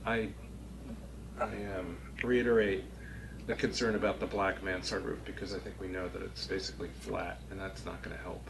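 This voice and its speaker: Low-voiced man, halting, noisy room. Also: little pitch movement, gravelly. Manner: halting, hedging, thinking aloud. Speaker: architectural review board member. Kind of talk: spontaneous critique at a public meeting. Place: small meeting room, indoors. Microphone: distant room camera. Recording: noisy meeting-room recording.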